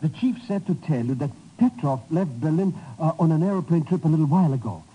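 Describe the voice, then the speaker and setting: An actor playing an excitable, muddled comic sidekick who stammers eagerly in a husky voice, 1950s radio drama. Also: male voice, on the high side, quick pace, muffled recording. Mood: eager, flustered. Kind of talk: 1950s radio comedy-relief acting. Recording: old 1950s radio recording.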